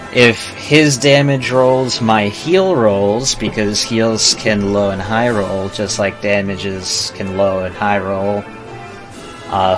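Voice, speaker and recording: A man giving casual, explanatory live commentary as he streams a video game speedrun. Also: lowish voice. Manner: explanatory, self-deprecating. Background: faint game music.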